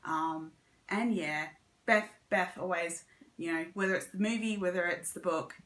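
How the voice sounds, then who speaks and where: A woman's voice: lowish voice, normal speed, fairly bright tone, roomy sound. A woman giving a chatty, casual book review as a vlogger. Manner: chatty, conversational.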